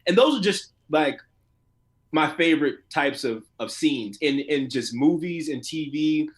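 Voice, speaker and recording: A man who works as an actor, talking casually and colloquially as an interview guest, telling stories in an animated way. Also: on the high side, unhurried. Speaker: voice and motion capture actor being interviewed.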